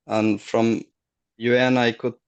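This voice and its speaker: A middle-aged man, a guest on a video call, telling his story haltingly and searching for words. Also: mid-range voice, flat delivery, clear, resonant tone. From Afghanistan. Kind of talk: casual personal story.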